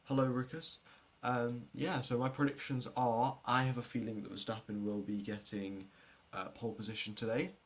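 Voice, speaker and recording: A man's voice: mid-range voice, little pitch movement, normal speed. Man hosting a casual, rambling livestream. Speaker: livestream host. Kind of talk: casual livestream chat with viewers and race preview commentary.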